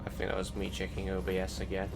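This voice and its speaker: A man doing a casual gameplay commentary in a halting, self-correcting and sometimes sweary way. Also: deep voice, completely flat delivery, creaky. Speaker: video game let's play host. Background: loud game music.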